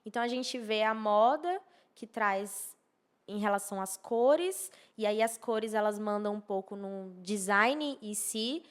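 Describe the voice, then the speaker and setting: A woman's voice: medium pitch, measured pace, clean tone. A woman explaining things conversationally as a podcast guest, emphatically and repeating key phrases. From Maceió, northeastern Brazil. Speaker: podcast guest, architecture and social media professional.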